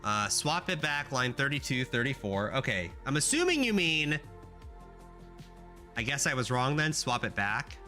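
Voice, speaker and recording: A young man streaming himself coding, chatting casually with viewers, swearing and getting excited as he works. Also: mid-range voice, moderate pace. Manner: excitable, swearing, talks to chat while coding. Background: faint music.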